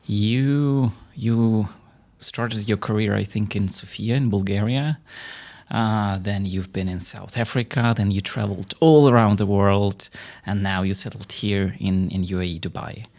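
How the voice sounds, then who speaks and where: A man hosting a podcast interview, asking questions conversationally with halting, repeated words. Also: fairly low pitch, darkish tone.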